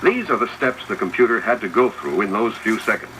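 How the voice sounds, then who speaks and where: A man's voice: fairly low pitch, expressive, fairly quick. A man reading scripted, instructive narration for a 1970s educational film, addressing the viewer directly. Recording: noisy 1970s 16mm film soundtrack, projector whir. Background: mechanical projector noise.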